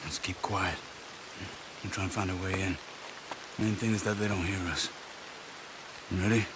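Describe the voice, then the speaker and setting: An actor playing a calm, cautious, practical outlaw companion in a video game drama, low-key as he gives instructions. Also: normal speed, heavy background noise, natural voice. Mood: hushed caution.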